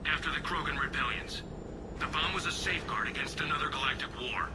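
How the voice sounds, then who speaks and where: High-voiced, earnest alien lieutenant played by a man. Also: fast, close to an everyday voice. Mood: tense, dutiful. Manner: formal military reporting. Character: earnest alien male soldier, dutiful lieutenant. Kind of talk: video game cutscene acting. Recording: clear vehicle noise behind the voice.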